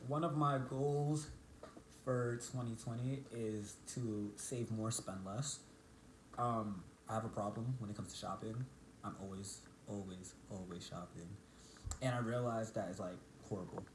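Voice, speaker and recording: A man's voice: steady tone, moderate pace, reverberant, background noise. A man in his twenties chatting casually with his partner on a couple's vlog, playful and joking. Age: 20s. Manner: playful, jumps high when mimicking his partner. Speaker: couple vlogger. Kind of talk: casual chat.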